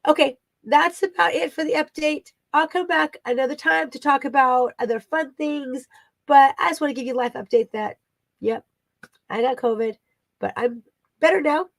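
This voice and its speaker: A woman's voice: sits high, no room sound. A woman in her thirties giving a casual, rambling personal update, still recovering, with her voice only partly back. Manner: chatty and rambling, many fillers and asides. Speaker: vlogger and online teacher. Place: her home.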